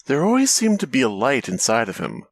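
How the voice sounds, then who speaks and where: A man's voice: medium pitch, wildly swooping pitch, moderate pace, no echo. Jokey man reading a story aloud. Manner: jokey, laughs often, breaks into riffs mid-reading. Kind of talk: casual comedic chat and dramatic reading.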